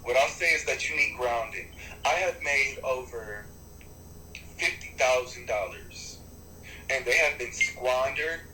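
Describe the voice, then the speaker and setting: A man who is a rapper and artist gives long, animated interview answers, often restarting his sentences and swearing for emphasis. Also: medium pitch, unhurried, roomy sound, a little hiss or hum, low-quality recording. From United States.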